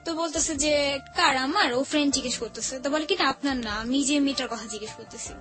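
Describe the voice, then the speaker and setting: Young woman w dhaka bangladeshi accent, high voice, chatty personal story, music under it. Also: fast talker. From Old Dhaka, Bangladesh. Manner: colloquial, acts out remembered conversations. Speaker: guest telling her life story. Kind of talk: informal personal storytelling with quoted dialogue.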